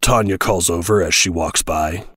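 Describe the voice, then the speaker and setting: A very low male voice narrates an audio drama from a script. The sound is close and dry. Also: moderate pace, fairly bright tone, breathy edge, no echo.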